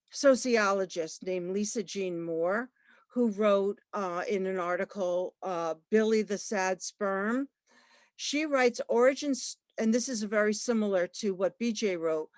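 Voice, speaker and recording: A woman's voice: mid-range voice, no room sound. Librarian lady on a video call, lots of ums and restarts, super dry sound. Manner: halting, many fillers and restarts. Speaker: librarian and researcher. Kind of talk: online book launch talk.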